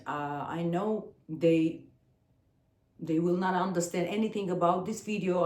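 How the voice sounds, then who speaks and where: A woman in her forties from Armenia, with an Armenian accent and Armenian as her first language, talking to the camera in a personal vlog, pausing often and searching for her words. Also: on the low side, a little mellow, roomy sound. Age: 40s. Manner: hesitant, searching for words, frequent fillers. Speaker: singer and channel host.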